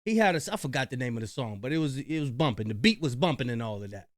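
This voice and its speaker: A man doing reaction commentary in a slangy, animated way, talking straight to his viewers. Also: medium pitch, quick pace, no room sound, studio quality.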